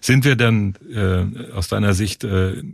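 A man's voice: lowish voice, takes it slowly, vocal fry, husky, no echo. A middle-aged man interviewing a guest, asking questions hesitantly with many fillers and restarts as he builds them.

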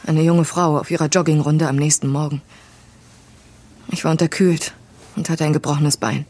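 A woman's voice: low-pitched, level tone, normal speed, darkish tone. An actress playing a defiant, wounded woman in an audio drama, speaking with emphatic intensity. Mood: bitter, hurt. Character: wronged woman, defiant, frightened and bitter. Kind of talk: naturalistic audio drama acting.

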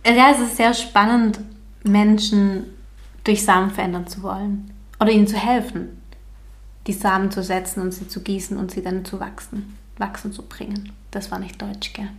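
A woman asks questions in a light, teasing manner, sounding a little off the mic. Her pitch is ordinary for a woman. The room adds a clear echo. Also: little pitch movement, moderate pace. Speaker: interviewer, host's partner. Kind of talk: casual interview questions.

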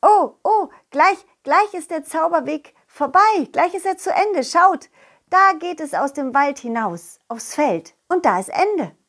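Woman playing a high-voiced, lively storytelling dog. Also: measured pace, heavily acted. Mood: excited. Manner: childlike, animated, addresses young listeners directly. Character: confident, loyal male dog telling his adventures to children. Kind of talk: children's audio story narration.

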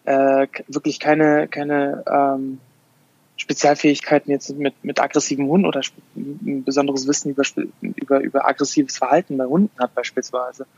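A man's voice: medium pitch, flat delivery, normal speed, a little mellow, husky, no room sound, lo-fi sound. A man in his thirties, a dog behavior therapist, answering interview questions with many restarts and fillers. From Afghanistan.

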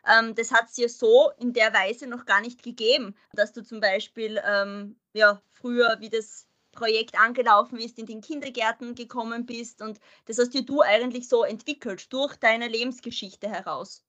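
A woman's voice: on the high side, steady tone, moderate pace, few pauses, pushed and bright. A young woman hosting a podcast who asks her guest chatty questions. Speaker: podcast host. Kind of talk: casual podcast interview.

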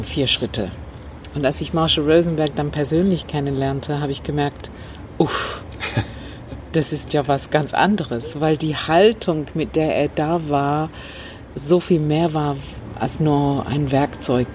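A woman, speaking as an interview guest. She recalls her past thoughtfully, with pauses and fillers. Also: sits low, steady tone, a little mellow. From Northern Ireland. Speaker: interview guest, communication trainer. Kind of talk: reflective storytelling. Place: rooftop terrace in a city, outdoors. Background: faint traffic noise, passing train.

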